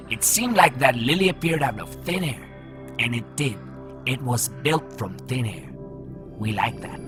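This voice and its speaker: A man's voice: mid-range voice, natural voice. An actor playing a proud, teasing man made of nanomachine fog in a voiced comic, chatty and explanatory. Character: breezy, proud male human made of floating nanomachine fog. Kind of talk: voiced comic audio drama.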